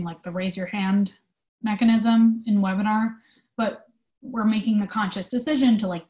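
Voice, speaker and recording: A woman in her twenties speaks as an interview guest at a medium pitch. She is earnest and reflective, talking through her thoughts in a discursive, conversational way. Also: rough recording. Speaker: theater company manager, interview guest.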